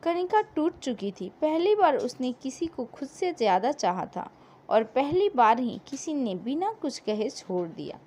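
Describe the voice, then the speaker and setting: A woman narrating a scripted story in a dramatic, emotive way with deliberate pauses. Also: sits high, very animated, a little mellow.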